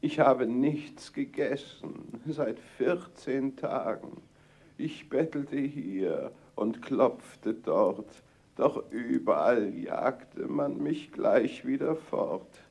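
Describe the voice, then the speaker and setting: An actor playing a frail, starving old beggar in a fairy-tale audio play, with a raspy, weak voice and laboured, halting rhymed speech. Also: male voice, medium pitch, animated, darkish tone, exaggerated voice. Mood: weary pleading. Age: older.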